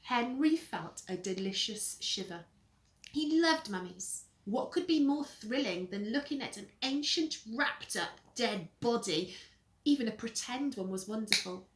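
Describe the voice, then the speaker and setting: A woman narrates a children's storybook with dramatic expression. Her voice is fairly high and takes its time. The recording is roomy and echoey. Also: animated. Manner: dramatic, deliberate. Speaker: teacher reading a story to pupils. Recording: roomy echo.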